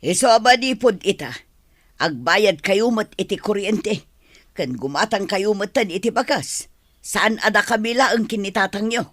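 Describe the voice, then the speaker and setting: Bright-voiced nagging older mother played by an actress. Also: medium pitch, lively intonation, a little slow, no room sound, studio quality. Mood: scolding. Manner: scolding, complaining. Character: nagging older mother, exasperated, worried about money. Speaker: actor in an audio drama. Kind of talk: dramatised dialogue in a serial love story.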